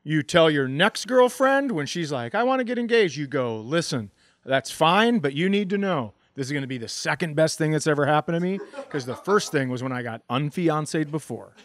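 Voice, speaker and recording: Comedy podcast guy, swoopy highish voice, teasing and riffing, casual. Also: expressive, moderate pace. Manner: teasing, riffing with mock-serious questions.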